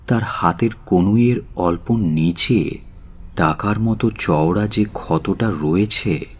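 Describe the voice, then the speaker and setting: A man narrating a literary audio story in a measured, solemn and dramatic way. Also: on the low side, husky. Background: faint music.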